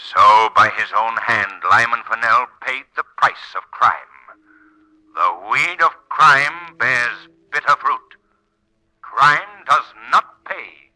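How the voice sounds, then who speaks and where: An actor playing a sinister, mocking avenger who pronounces doom in a 1940s radio thriller. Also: male voice, mid-range voice, slow, lightly acted. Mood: ominous, mocking. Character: sinister avenging invisible crime-fighter, ominous and mocking. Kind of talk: 1940s radio melodrama narration. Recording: noisy old 1940s radio broadcast.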